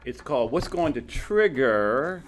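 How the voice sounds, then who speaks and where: Man, host, branding coach, animated pitch, everyday examples, faint background noise. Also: a little slow. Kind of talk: casual interview chat.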